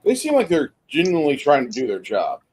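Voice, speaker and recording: Halting male game master. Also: a little high, wildly swooping pitch, a little mellow, breathy edge. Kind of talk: improvised tabletop game narration.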